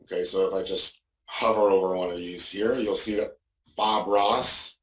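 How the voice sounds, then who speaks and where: A man's voice: low voice, steady tone, moderate pace, slight background noise. A man giving an informal, explanatory product demo as a walkthrough, often punctuating his points with "okay". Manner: explanatory, frequently checking with "okay". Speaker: product demonstrator. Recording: roomy echo, distant microphone.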